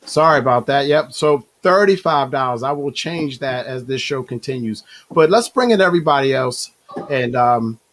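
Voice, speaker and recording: Hoarse male talk show host. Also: mid-range voice, lively intonation, fairly bright tone. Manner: halting, filler-heavy, self-correcting. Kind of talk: hosting a live online talk show.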